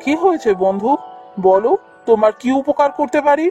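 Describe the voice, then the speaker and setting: A man's voice: very high-pitched, lively intonation, brisk, lo-fi sound, over music. A man voicing a kind, earnest young prince in a fairy-tale cartoon. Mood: friendly, grateful.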